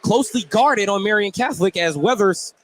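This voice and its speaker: A man's voice: high voice, lively intonation, brisk. A man calling live basketball play-by-play for radio, describing each move in detail. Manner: detailed, descriptive calling, shouting on big plays. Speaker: play-by-play radio sports commentator. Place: indoor basketball gym, courtside broadcast. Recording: loud gym noise behind commentary. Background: loud ambient game noise.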